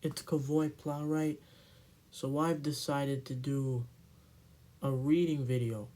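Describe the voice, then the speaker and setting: A man narrating a children's storybook aloud in an amateur, expressive read-aloud style. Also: medium pitch, takes it slowly.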